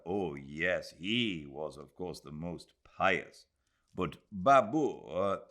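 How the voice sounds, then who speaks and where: Male narrator as courteous male host, eager, effusive, earnest, lively swooping pitch, classic audiobook character. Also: exaggerated voice. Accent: British. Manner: earnest, ardent, emphatic.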